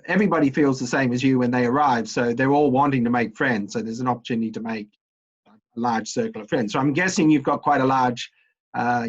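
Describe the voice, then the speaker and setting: Middle-aged male academic host, husky, hesitant delivery, dull muffled audio. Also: mid-range voice. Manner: hesitant, many ums and uhs. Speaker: university associate dean interviewing a student. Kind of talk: relaxed interview questions.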